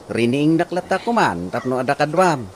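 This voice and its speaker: An actor playing a dutiful, concerned young nephew in a village radio comedy drama. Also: male voice, a little high, big pitch swings, normal speed. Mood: concerned, helpful. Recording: noisy radio drama, birds cooing behind.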